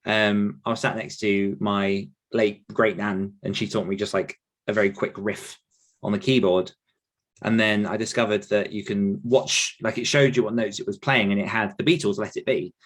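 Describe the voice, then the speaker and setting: A man's voice: on the low side, flat delivery, moderate pace, a bit bright. A British man in his thirties talking casually and reflectively as an interview guest, wandering as he tells his stories. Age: 30s. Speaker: podcast guest, author.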